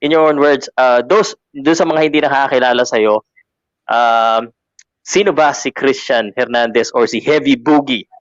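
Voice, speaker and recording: A man from the Philippines who hosts a casual sports interview and keeps restarting his questions in a friendly, hesitant way. His voice is mid-pitched and sounds dry and a touch dull, with little room around it. He often slips into phrases from another language as he asks his questions. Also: normal speed, no room sound. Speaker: podcast host.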